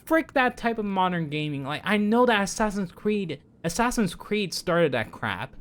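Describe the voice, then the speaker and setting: A man's voice: high-pitched, big pitch swings, normal speed, a bit bright. A man chatting casually and informally as a game livestreamer, rambling with opinionated, exasperated asides. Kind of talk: casual rambling stream chat about games. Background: background music.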